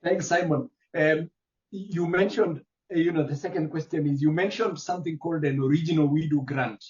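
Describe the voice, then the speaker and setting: A man's voice: fairly high pitch, steady tone, a little fast, a little mellow. A man interviewing a guest, asking short questions and prompting with brief interjections. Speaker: interviewer, addressed as professor. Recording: dull lo-fi audio.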